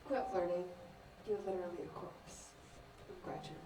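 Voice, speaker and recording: A woman's voice: fairly low pitch, normal speed, lightly acted. A young woman playing a blunt, impatient, sarcastic character in a student stage play, speaking curtly. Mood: irritated. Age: young adult. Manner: sarcastic, curt. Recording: echoey, distant room sound.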